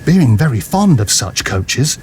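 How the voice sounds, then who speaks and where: A man's voice: mid-range voice, very animated, a little slow, darkish tone, a little hiss or hum. A man narrating a story dramatically from a script, as in an audio drama.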